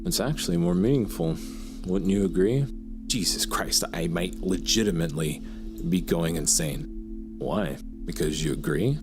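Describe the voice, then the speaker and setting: A man's voice: sits low, measured pace, music in the background. A man playing a desperate crime writer in an audio drama, wordy and theatrical, talking himself toward something sinister. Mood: anxious, frantic.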